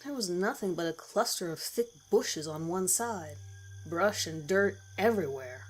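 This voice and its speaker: A woman's voice: lowish voice, lively intonation, a little slow, rough recording. A woman reading a scripted first-person horror story as its narrator. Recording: music bed under the voice. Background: background music.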